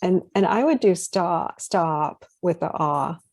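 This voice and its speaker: West coast lady teaching phonetics, low mellow gravelly voice, kind and encouraging, dry sound. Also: slow, no echo. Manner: gentle, encouraging, corrects details. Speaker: phonetics instructor. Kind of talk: online class discussion.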